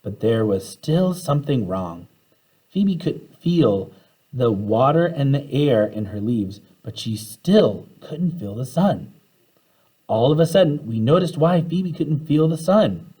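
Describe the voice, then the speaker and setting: A man reading a children's storybook aloud in an animated way, acting out the dialogue as he goes. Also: mid-range voice, takes it slowly, slightly rough, rough recording. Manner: animated, acts out the characters' dialogue.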